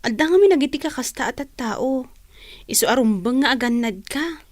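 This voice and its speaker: A woman's voice: high-pitched, animated, a little fast, no echo. A woman acting a caring, generous young student and loyal friend in a radio drama. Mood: concerned.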